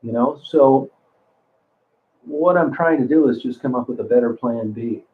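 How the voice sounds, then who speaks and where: A man's voice: medium pitch, moderate pace. A man in his sixties chatting casually on a livestream, rambling, cursing freely and often restarting his sentences. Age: 60s. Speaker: livestream host reading chat. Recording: phone-like narrow sound.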